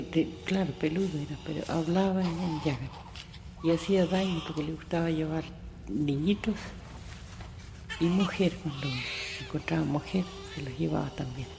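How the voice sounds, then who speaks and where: An elderly woman from the far south of Chile with a southern Chilean accent, telling traditional stories in a halting, unrehearsed way. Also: sits low, vocal fry. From Tierra del Fuego, southern Chile. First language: Yagán. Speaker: storyteller. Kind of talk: oral storytelling, unrehearsed. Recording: very noisy archive field recording.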